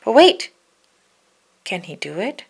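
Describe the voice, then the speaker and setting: Expressive woman reading a picture book aloud. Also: medium pitch, slow pace, vocal fry, husky. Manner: animated, dramatic storytelling. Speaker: children's book reader.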